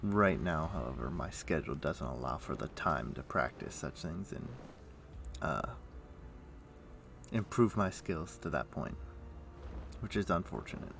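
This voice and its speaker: A man livestreaming a game, chatting casually and thinking aloud in a rambling way, with a rough morning voice. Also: very deep voice, lively intonation, measured pace, a bit bright, slight background noise. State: rough morning voice, throat clearing. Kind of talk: casual chat while playing an online game.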